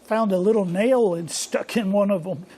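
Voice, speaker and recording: An older man hosting a casual workshop vlog from his home machine shop, talking in a hoarse, rambling, folksy way. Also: high voice, normal speed, dark tone, a little hiss or hum. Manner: rambling, folksy and self-deprecating. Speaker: workshop channel host.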